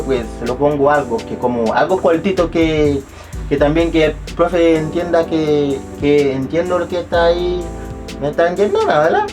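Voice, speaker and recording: A young man speaking Spanish as a second language, telling a casual story with rambling restarts and self-mocking asides. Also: a little high. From abroad, not Chile. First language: not Spanish. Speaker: vlogger.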